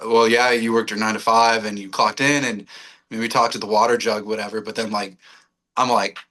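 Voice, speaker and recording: Male radio personality, mid twenties, bright forward voice, loose slangy delivery, self-deprecating rambles. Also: fairly low pitch, steady tone, brisk. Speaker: podcast guest, radio on-air personality. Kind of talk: casual chat.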